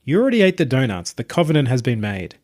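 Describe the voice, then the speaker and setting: A man's voice: mid-range voice, big pitch swings, fast, a little mellow, lightly acted. Man voicing an eager young male student. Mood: playful. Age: young adult. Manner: keen, coaxing, playful. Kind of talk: audiobook character voice.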